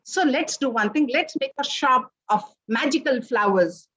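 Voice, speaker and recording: A woman's voice: high-pitched, lively intonation, moderate pace, fairly bright tone, breathy edge, heavily acted. Woman playing a cheerful coaxing jungle witch. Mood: gentle. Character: kindly bustling female jungle witch brewing potions.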